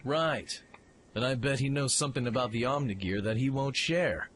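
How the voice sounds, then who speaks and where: A man playing a brash, eager young mech pilot in an audio drama, informal and excitable in his delivery. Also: mid-range voice, moderate pace, a little hiss or hum, close to an everyday voice. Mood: suspicious, musing. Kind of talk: fan audio drama acting.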